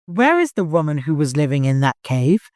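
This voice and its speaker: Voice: medium pitch, very animated, moderate pace, a little mellow, no room sound, studio quality, natural voice. A narrator voicing a fierce, proud, defiant young woman in an audiobook, bold and insistent. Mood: demanding.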